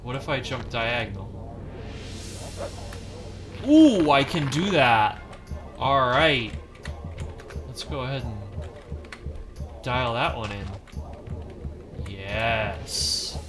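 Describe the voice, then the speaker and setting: A man's voice: mid-range voice, expressive, drawn-out words, long pauses, music in the background. A man casually commenting as he plays a game, wry and self-deprecating, with half-mumbled asides. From not from Maine. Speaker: gaming streamer.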